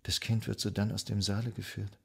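An actor playing a formal 1920s reporter in a radio drama, soberly reading out a court account. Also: male voice, on the low side, level tone, a little fast, gravelly, fairly bright tone, slightly rough, full character voice. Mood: sober, reporting. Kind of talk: scripted narration in a radio drama.

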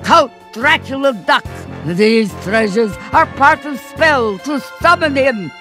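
An actress playing a scheming, gloating duck sorceress in a cartoon game, theatrical, with a put-on Eastern European accent. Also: female voice, sits high, lively intonation, takes it slowly, fairly bright tone, soft background music, full character voice. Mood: menacing, sly. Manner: theatrical, cackling villain delivery.

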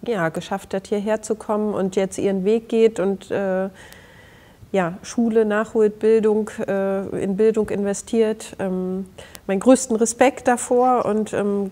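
A woman in her thirties hosting a studio interview, filling pauses with many hesitations. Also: mid-range voice, dark tone. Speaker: talk show host.

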